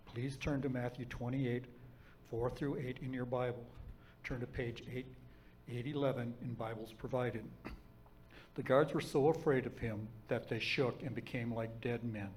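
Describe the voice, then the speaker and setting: A man reading scripture aloud in a steady, measured way and giving announcements to the congregation. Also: medium pitch, poor audio. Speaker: scripture reader.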